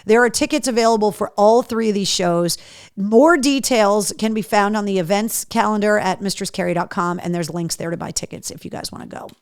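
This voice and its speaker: A middle-aged woman, radio and podcast host, running through concert and event announcements in an upbeat, chatty way with frequent ums. Her voice has grown deeper and worn-in from years of talking. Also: fairly quick, smooth, dry recording, studio quality. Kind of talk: casual live-show announcements.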